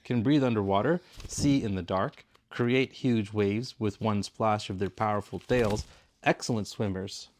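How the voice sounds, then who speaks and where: A man's voice: fairly low pitch, measured pace, a little mellow, slight background noise. A middle-aged man reading aloud for a bedtime story in a patient, explanatory way, as a father to a child.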